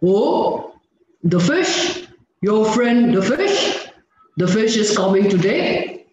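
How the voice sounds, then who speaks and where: A man's voice: sing-song, takes it slowly, a little mellow, slight background noise, full character voice. A man doing Monty, a jolly chuckling monkey. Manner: booming ho-ho laughs, sing-song. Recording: echoey dull video-call audio.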